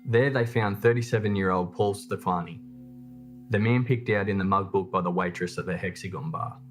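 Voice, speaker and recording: A man reading scripted true crime documentary narration in a measured, matter-of-fact way. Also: low voice, soft background music.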